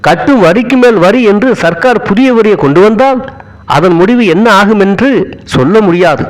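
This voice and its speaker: A man reading a formal political speech aloud in a stately way, in short, measured phrases. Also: sits high, rough recording. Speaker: speech reader.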